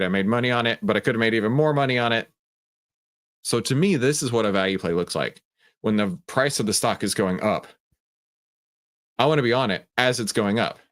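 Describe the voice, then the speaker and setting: Low-pitched man hosting a live trading stream. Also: fairly bright tone. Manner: conversational, often tags statements with "right?"; emphatic, explanatory, poses questions and answers them. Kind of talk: casual live market commentary, chatting with viewers.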